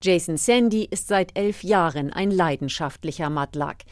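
A woman's voice: on the low side, normal speed, dry recording, studio quality. A woman reading scripted documentary narration as the reporter of a radio feature.

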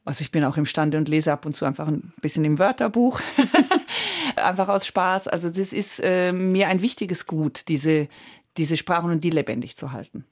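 A woman, an actress whose first language is Italian, answering interview questions in a reflective, searching way with frequent hums and soft laughs. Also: lowish voice, animated, moderate pace. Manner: thoughtful, searching, with many hums and small laughs.

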